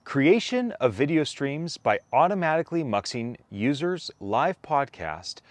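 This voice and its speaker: A man narrates a written paper aloud in a close, dry recording. His pitch moves in a lively way, and he sets out his phrases deliberately, giving weight to individual items. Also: dry recording. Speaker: co-author reading a whitepaper aloud. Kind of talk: read-aloud document narration.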